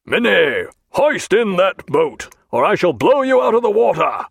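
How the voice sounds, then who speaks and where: A man voicing a male naval captain who gives crisp, curt orders to his crew. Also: fairly high pitch, big pitch swings, normal speed, no echo, exaggerated voice. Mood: commanding.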